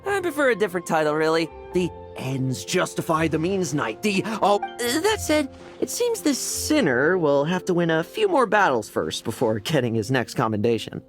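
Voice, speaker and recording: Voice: mid-range voice, wildly swooping pitch, moderate pace, few pauses, soft background music. An actor playing a cocky, wry young knight in a video game, with a sardonic, nonchalant delivery. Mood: playful, determined. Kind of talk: dramatic game voice acting.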